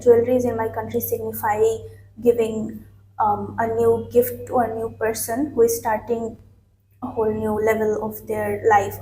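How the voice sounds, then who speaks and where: A young woman from Bangladesh answers exam questions in a mellow, slightly high voice, pausing on fillers and restarting her sentences. She sits in a small office room and is picked up by a distant camera mic. The room is echoey, with a faint hiss underneath. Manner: hesitant, many fillers and restarts. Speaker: IELTS mock test candidate. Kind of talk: answering speaking test questions.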